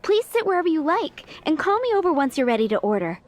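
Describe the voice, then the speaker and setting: A woman voicing a polite, brisk restaurant server in a video game, heard from a distance. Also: high-pitched, very animated. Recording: distant voice with ambient noise.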